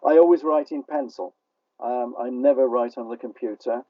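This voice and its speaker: An older man giving a casual, reflective interview as a playwright, talking in a halting, self-effacing, wordy way. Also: mid-range voice. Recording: dull, muffled, distant radio-like sound.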